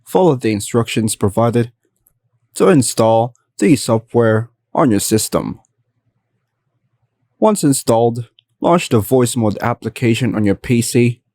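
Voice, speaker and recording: Male tutorial voice-over, mid pitch, dry close mic, even instructional read. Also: measured pace, no echo. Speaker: tutorial narrator. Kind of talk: scripted step-by-step tutorial narration.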